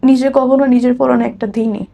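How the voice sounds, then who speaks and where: A woman's voice: a little high, fairly even intonation, fairly quick, gravelly, dark tone. A woman with a Bangladeshi accent telling her own life story conversationally as an interview guest, acting out what people said.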